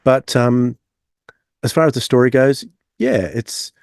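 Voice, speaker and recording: A man co-hosting a podcast in casual review chat, talking in a halting, discursive way. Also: medium pitch, gravelly, a little mellow, dry recording, studio quality. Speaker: podcast co-host.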